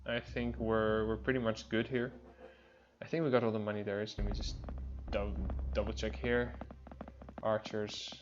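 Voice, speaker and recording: A man's voice: lowish voice, flat delivery, moderate pace, highs cut off, background noise. A man with a Dutch accent, a non-native English speaker, casually commenting while playing a game, chatty with many fillers. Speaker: let's play host.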